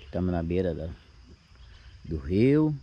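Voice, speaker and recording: A man's voice: sits low, sing-song, unhurried, muffled recording, a little hiss or hum. A man in his sixties with a Brazilian accent, narrating a casual camping vlog outdoors. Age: 60s. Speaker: camping vlogger. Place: outdoors on a grassy lakeside campsite.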